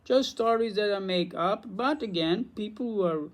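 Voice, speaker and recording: A man's voice: high-pitched, normal speed. Man, Belgian Dutch accent, native Dutch speaker, eager rambling vlog chat to camera. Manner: excitable, rambling, chatty with restarts. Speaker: spiritual teacher and vlogger. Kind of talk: casual talk to camera.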